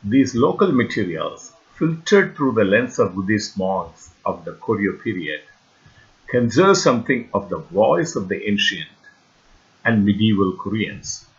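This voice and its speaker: A man with a fairly low voice and a Tamil Indian accent, native in Tamil, reads a prepared lecture. His phrasing is formal and deliberate. The recording sounds somewhat dull and lo-fi. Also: very animated. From India, Tamil region. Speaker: researcher and lecturer. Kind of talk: scripted lecture narration.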